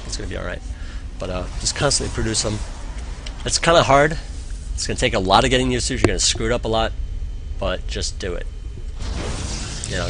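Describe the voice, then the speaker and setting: A young man with a stuffed-up, nasal voice, getting over a cold, walks through a game replay in a loose, rambling way, full of fillers and encouragement. His voice sits somewhat low, and a clear hiss of noise runs under his home stream mic. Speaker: game coach. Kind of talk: casual live-stream replay analysis.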